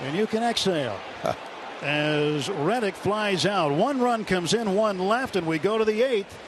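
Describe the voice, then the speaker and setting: Brief: male play-by-play radio announcer, slightly high voice, loud ballpark noise underneath. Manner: excited on big plays, steady between pitches. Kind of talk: radio baseball commentary. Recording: noisy ballpark broadcast.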